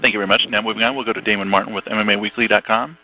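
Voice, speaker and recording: A man's voice: fairly low pitch, fairly even intonation, rapid-fire, pushed and bright, a little hiss or hum. A man acting as conference call operator, giving polite, formal, practiced announcements over a telephone line.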